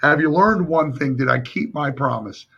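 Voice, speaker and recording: Hoarse male sales coach, husky. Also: fairly high pitch, moderate pace, sharp tone. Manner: emphatic, coaching, addresses viewers directly. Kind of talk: live-stream sales training. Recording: dull lo-fi stream audio.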